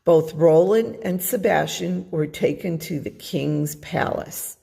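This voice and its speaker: A woman's voice: low-pitched, slow, creaky, warm tone, breathy edge, in a large hall. A woman narrating a children's storybook aloud as a storytime reader.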